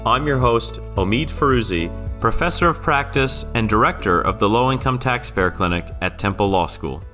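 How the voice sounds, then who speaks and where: A man hosting a podcast, introducing a guest and asking questions in a measured, halting way with many fillers. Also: fairly low pitch, fairly bright tone. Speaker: podcast host and law professor.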